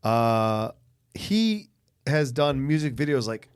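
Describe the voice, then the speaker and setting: A man with a mid-range, very lively voice chats casually as a podcast co-host. His pitch moves around a lot as he interjects agreeably and riffs on films. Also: takes it slowly, clear, resonant tone. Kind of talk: casual chat about films.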